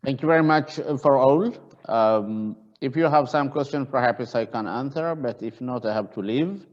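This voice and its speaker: Guy, halting simple english, muffled call audio. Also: medium pitch, a little mellow. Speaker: panelist, former politician. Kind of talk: casual chat on a video call. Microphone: video call. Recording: dull, lo-fi video-call audio.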